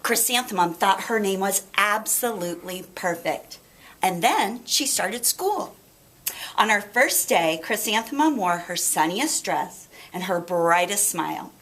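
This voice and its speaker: A woman's voice: mid-range voice, expressive, unhurried, a bit bright. A woman reading a picture book aloud to children in a dramatic, emphatic style. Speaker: storybook reader. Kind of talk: expressive children's read-aloud narration.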